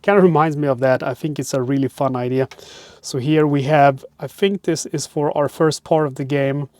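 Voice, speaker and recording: A man's voice: on the high side, steady tone, normal speed, a little mellow, no echo. Swedish-accented man chatting in a dry RV. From Sweden. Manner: chatty, rambling with frequent "uh" fillers. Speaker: board game channel host. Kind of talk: casual unboxing chat. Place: cramped RV parked by a harbor. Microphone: wireless clip-on microphone.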